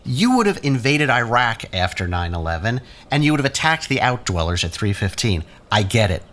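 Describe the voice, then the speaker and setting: A man's voice: mid-range voice, sing-song, a little fast, fairly bright tone. A man playing a sardonic, morally challenging character in an audio drama, with a wry, pointed delivery. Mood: accusing.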